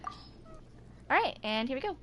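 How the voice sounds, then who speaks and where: High-voiced young woman, noisy home recording. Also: huge pitch swings, slow pace, a bit bright. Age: 20s. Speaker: video creator reading game character stories. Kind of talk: casual reading aloud with offhand commentary.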